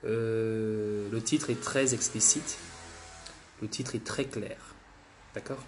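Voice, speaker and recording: A man's voice: fairly low pitch, steady tone, slow pace, darkish tone, soft background music. Man with the flu, livestreaming. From Gabon. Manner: repetitive, restarts words, keeps urging viewers. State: has the flu. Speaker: livestream host. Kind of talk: political livestream commentary.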